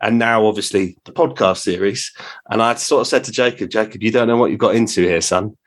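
A man's voice: lowish voice, a little fast. A British man hosting a podcast in a casual, conversational interview style.

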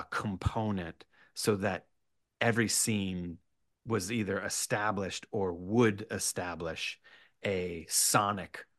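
A man speaking as a writer guest in a casual interview, rambling and animated, often hesitating and correcting himself. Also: fairly low pitch, dry recording, clean studio sound. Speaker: podcast guest, horror writer.

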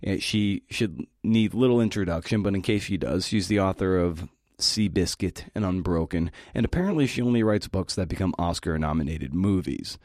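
Deep-voiced man giving a podcast talk. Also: moderate pace, a little mellow, dry recording, studio quality. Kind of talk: conversational scripted talk.

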